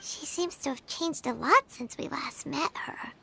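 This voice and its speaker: A woman's voice: sits high, measured pace, vocal fry, pushed and bright, slight background noise, full character voice. A woman voicing a tiny, chatty female fairy companion who talks about herself in the third person, in a video game. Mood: chirpy.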